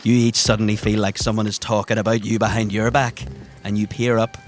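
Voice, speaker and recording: A man's voice: lowish voice, little pitch movement, a little fast, faint music behind. A man narrating a tabletop adventure as game master, in vivid, theatrical and descriptive language.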